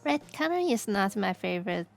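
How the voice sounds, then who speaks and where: A woman from Hong Kong with a Cantonese accent, chatting casually on stream, halting and restarting as she searches for words. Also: medium pitch, very animated, gravelly. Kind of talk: casual chat while drawing.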